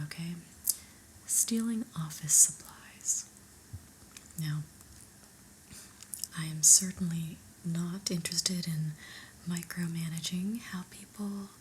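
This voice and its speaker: Lady doing a strict corporate boss lady for asmr, breathy whisper, lowish and super slow, dryly disapproving. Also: a little hiss or hum, poor audio. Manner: measured, deliberate, corporate jargon, dryly disapproving. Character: unimpressed new female office manager, stern but politely corporate.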